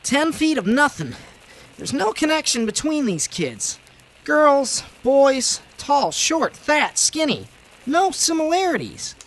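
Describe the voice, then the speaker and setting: An overeager rookie detective in a vintage-style radio drama. The voice is extremely high for a man, youthful and earnest, always keen to help. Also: sing-song, takes it slowly, fairly bright tone, heavily acted. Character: eager young rookie police detective, earnest. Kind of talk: old time radio drama acting.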